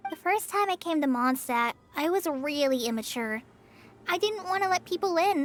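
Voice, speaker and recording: A woman voicing an anxious, self-doubting teenage girl ranger trainee in a video game, with a hesitant, earnest manner. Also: very high voice.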